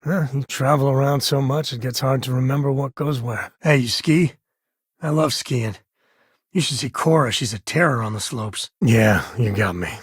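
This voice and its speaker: A husky, wry spacefaring ranger from a video game, played by a male actor, with a mid-pitched male voice. He talks in plain, folksy phrases like a protective, easygoing dad. The sound is close and dry. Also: moderate pace, dry recording, natural voice. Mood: wry, friendly. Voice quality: husky, raspy. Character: laid-back, protective spacefaring frontier ranger and devoted father. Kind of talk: video game character voice lines.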